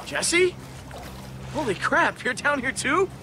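A man's voice: very high voice, very animated, takes it slowly, noisy background, exaggerated voice. A man voicing a friendly, easygoing young adventurer sidekick in a video game, casual, wry and sympathetic. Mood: surprised. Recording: music under the dialogue.

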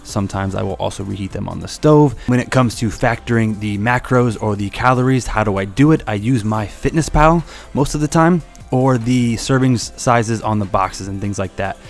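A man's voice: lowish voice, moderate pace, gravelly. Casual man narrating a cooking vlog. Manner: relaxed, casual explanations with fillers. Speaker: fitness channel host. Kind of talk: meal prep voice-over. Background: faint background music.

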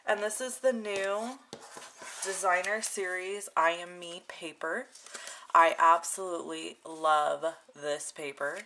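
A woman's voice: lowish voice, steady tone, slow pace, bright, forceful tone. A woman casually narrating a hands-on craft demonstration as its host, with informal, chatty phrasing. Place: indoor craft desk. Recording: clear background noise with clicking.

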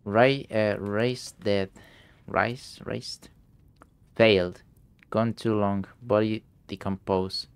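A young man who is sick, his voice deeper than usual, commentating a game and reading notes aloud haltingly, with English not his first language. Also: steady tone, clean tone, dry recording. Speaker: gameplay streamer. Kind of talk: let's play commentary.

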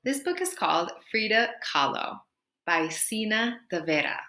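Slow, gentle young woman teacher reading aloud. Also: mid-range voice, very animated. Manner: gentle, encouraging, asks the listener questions. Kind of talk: children's read-aloud lesson. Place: small room indoors. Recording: echoey room.

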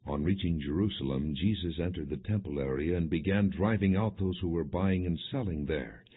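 Deep-voiced man reading scripture, phone-like sound. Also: moderate pace, slightly rough. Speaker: audiobook narrator. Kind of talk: scripted scripture narration, non-dramatized. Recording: muffled phone-like lo-fi audio.

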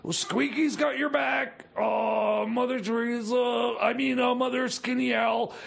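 A man playing a scatterbrained, generous stoner cellmate in a comedy radio play, stammering and muttering haltingly. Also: very high voice, steady tone, gravelly, a bit bright, breathy edge, shouting, full character voice. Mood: reassuring.

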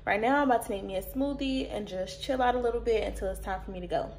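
A woman's voice: a little high, fast talker, a little mellow. A woman in her twenties chatting casually as a vlogger into a phone held at arm's length. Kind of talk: casual vlog chat. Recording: clear background noise on phone audio.

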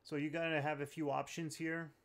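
A man's voice: on the high side, flat delivery, brisk. A man hosting a tech tutorial, talking viewers through steps in an informal, plain-spoken way. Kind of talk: casual step-by-step tutorial narration.

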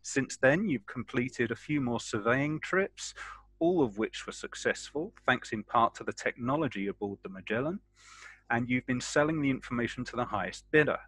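A man with a bright, forceful voice reads out a character background for a tabletop game. The sound is dry and close, with no room on it. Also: mid-range voice, lively intonation, normal speed, breathy edge, no echo, low-quality recording. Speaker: player. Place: indoors at home, online video call.

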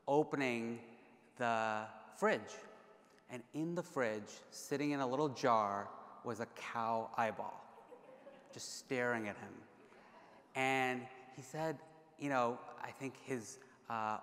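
A man's voice: medium pitch, fairly bright tone. Man speaking in a reverberant hall. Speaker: keynote speaker. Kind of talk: conference speech, conversational. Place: large conference stage, indoors at a podium. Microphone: podium gooseneck microphones. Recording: reverberant hall, amplified podium speech.

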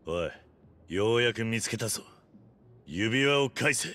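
A tough male yakuza with a lowish voice. He talks in rough, blunt orders, hot-tempered yet honest, like the hero of a crime game. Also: expressive, measured pace, sharp tone, a little hiss or hum. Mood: stern, demanding. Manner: blunt, rough, commanding street speech. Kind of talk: video game cutscene acting.

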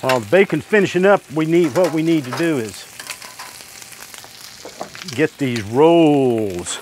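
Older male host, relaxed homespun cooking demo, clip mic, loud sizzling griddle behind. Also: fairly high pitch, unhurried, noisy background. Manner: easygoing, homespun, talks while working at the griddle. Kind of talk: step-by-step cooking demonstration. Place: outdoor covered deck by a griddle.

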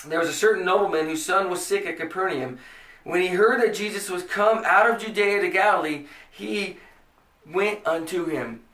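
Earnest American pastor, echoey room. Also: male voice, high-pitched, normal speed, pushed and bright, recorded from a distance. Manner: earnest, emphatic preaching with rising bursts. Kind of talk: podcast sermon. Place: small indoor room before a church banner.

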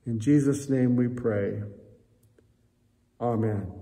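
An older American man, a pastor, speaking solemnly and earnestly in measured phrases as he leads prayer and appeals to a congregation. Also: mid-range voice, mellow. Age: 60s or older. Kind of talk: church prayer and offering appeal. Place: church sanctuary, indoors. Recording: reverberant church hall echo.

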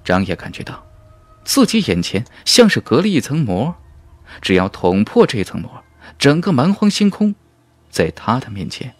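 A man's voice: medium pitch, huge pitch swings, moderate pace, no echo. A man reading a fantasy novel as an audiobook narrator in an emphatic, suspenseful, dramatic style.

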